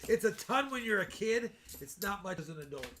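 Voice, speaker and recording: A man of about fifty chatting excitedly with viewers as he hosts a live stream. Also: sits high, fairly quick, slight background noise. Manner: excited, chatty, keeps talking to viewers by name. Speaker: coin-hunting live streamer. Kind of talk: casual live-stream chat while searching coin rolls.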